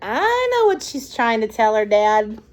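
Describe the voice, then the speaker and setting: A woman hosting storytime, reading a picture book aloud to young children in an expressive way with conversational asides. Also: on the high side, unhurried.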